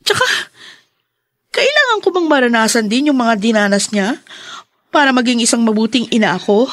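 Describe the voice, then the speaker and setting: A woman's voice: a little high, expressive, normal speed, a bit bright, dry recording, clean studio sound. An actress playing a hurt, frank young wife and new mother in a Filipino radio drama, with a Filipino accent. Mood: hurt, aggrieved. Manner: frank, aggrieved.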